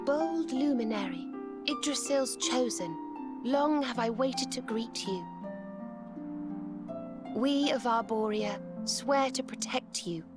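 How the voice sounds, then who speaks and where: A woman with a British accent playing a gentle, polite young magical bard in a video game, sweet and courteous in manner. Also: a little high, lively intonation, takes it slowly, pauses often, music in the background. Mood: warm, gentle. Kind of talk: video game character acting.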